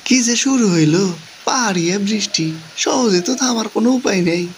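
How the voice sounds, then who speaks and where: A man's voice: high voice, lively intonation, moderate pace. Guy doing a homesick cartoon dad, rustic bangladeshi accent, bit of background noise. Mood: resigned, musing. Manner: rustic, colloquial. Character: homesick young working father in the hills. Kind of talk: cartoon character voice, musing aloud.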